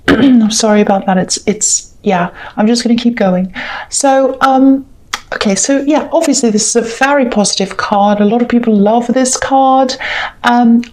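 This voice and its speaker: Woman, hoarse croaky voice, fairly high pitch, hesitant musing tarot reading to camera. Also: normal speed, a little mellow. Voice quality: musing and hesitant, with many ums and self-corrections. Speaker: tarot reader.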